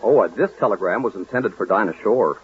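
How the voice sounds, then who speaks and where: Matter-of-fact official, lowish pitch, vintage radio bit part, narrow phone-like sound. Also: male voice, expressive, a little fast, breathy edge. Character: matter-of-fact male official breaking disappointing news. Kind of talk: 1940s radio comedy acting. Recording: thin, faintly noisy 1940s radio recording.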